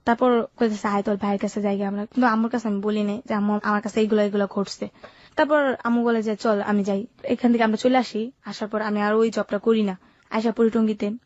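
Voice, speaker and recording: A woman's voice: medium pitch, fairly even intonation, quick pace, a little mellow, dry recording, dull audio. A seventeen-year-old woman with a colloquial Bangladeshi Bengali accent, telling her life story informally and matter-of-factly. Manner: matter-of-fact, unsentimental telling. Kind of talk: informal first-person storytelling.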